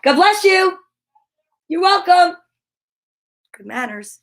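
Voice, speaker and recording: A woman's voice: extremely high pitch, sing-song, slow, a little hiss or hum. A woman hosting a live children's story time, reading aloud and chatting to viewers in an upbeat, gushing, encouraging way.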